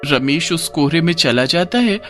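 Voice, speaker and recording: A man's voice: a little high, animated, moderate pace, pushed and bright, soft background music. A man narrating a scripted, dramatic story as a storyteller.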